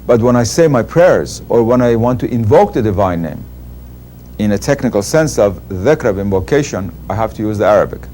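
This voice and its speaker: A low-voiced man lays out his argument in a measured, lecturing way. His tone is dark and mellow. A faint hum sits under his voice. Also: lively intonation. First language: Persian. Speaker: interviewed scholar. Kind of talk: explanatory interview answer.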